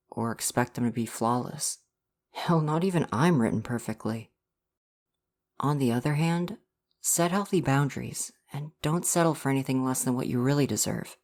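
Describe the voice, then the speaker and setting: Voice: mid-range voice, natural voice. A performer playing an affectionate visual novel boyfriend in an ASMR roleplay, earnest and reassuring. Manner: soft-hearted, reassuring, earnest. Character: gentle, affectionate dating-sim boyfriend come to life. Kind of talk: ASMR roleplay, personal affirmation.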